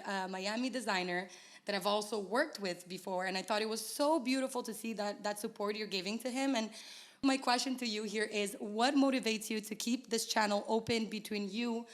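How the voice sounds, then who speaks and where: A woman's voice: medium pitch, a bit bright. A young woman hosting an interview, enthusiastic and affirming as she asks her guest questions. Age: young adult. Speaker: interview host, designer. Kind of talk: interview conversation.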